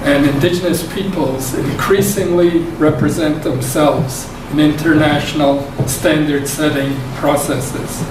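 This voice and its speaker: Soft-voiced guy lecturing, kinda high, far from the mic in an echoey noisy hall. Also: fairly even intonation. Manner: soft-spoken, measured. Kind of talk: public lecture.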